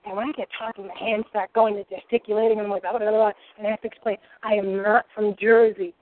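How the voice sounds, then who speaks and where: A woman's voice: mid-range voice, little pitch movement, fast talker. A woman in her forties with a Rhode Island accent, a guest cook chatting in a boisterous, rambling way. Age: 40s. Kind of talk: casual chat. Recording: tinny phone-like sound.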